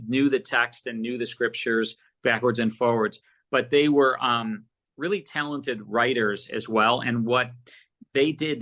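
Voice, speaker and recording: A man speaking casually as an interview guest, a producer telling rambling anecdotes and acting out bits of past conversations. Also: mid-range voice. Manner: animated rambling anecdotes, often quoting conversations.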